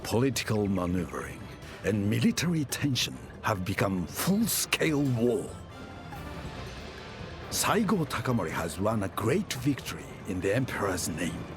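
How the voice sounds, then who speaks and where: Game narrator: solemn feudal war chronicler, low-pitched, expressive range, measured theatrical delivery over prominent music. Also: male voice. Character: grave male war chronicler of feudal Japan. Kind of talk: dramatic scripted cinematic game narration. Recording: loud music under narration. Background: cinematic music.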